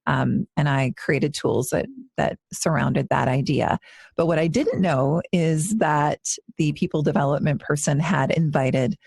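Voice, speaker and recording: A woman's voice: sits low, unhurried, vocal fry, a little mellow, breathy edge, no room sound. Earnest woman in her forties, dry sound. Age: 40s. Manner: earnest, reflective, with frequent restarts. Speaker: yoga teacher and podcast co-host. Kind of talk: casual podcast conversation.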